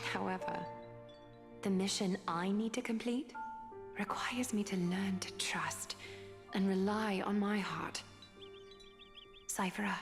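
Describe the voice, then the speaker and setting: A woman playing a serene, gracious noblewoman seamstress in a video game, speaking in measured, poetic, formal phrases with gentle earnestness. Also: mid-range voice, creaky. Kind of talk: dramatic video game acting. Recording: long reverb, music behind.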